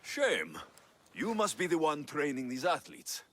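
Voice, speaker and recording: An actor playing a cocky, confident Greek mercenary hero in a video game, dry and taunting. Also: male voice, a little high, wildly swooping pitch, a little slow, fairly bright tone, exaggerated voice. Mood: probing.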